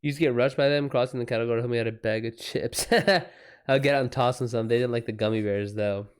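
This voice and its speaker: Man, livestream host, mid-pitched voice, informal slangy chat, casual swearing. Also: quick pace, smooth.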